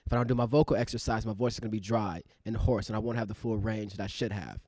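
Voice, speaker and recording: A man's voice: lowish voice, fast talker, darkish tone, dry recording, clean studio sound. A man in his thirties, an actor co-hosting a podcast, talking in a loose, rambling way with many fillers. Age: 30s. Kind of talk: casual reflective chat.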